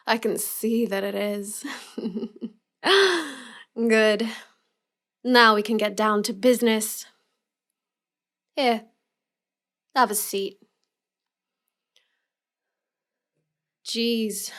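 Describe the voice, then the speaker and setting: A woman's voice: on the high side, fairly bright tone. A woman playing a cute, smug young villainess who teases and manipulates the listener in a scripted roleplay audio. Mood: smug, relieved. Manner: slow, smug, taunting delivery.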